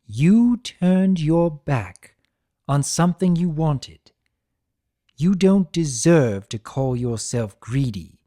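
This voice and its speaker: A man's voice: fairly high pitch, very animated, mellow. A man voicing a theatrical, swooping, needling chaos spirit. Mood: earnest, pressing. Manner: taunting, emphatic, drawn-out theatrical delivery. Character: theatrical male chaos spirit, a draconequus, needling yet sympathetic. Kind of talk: dramatic storybook reading.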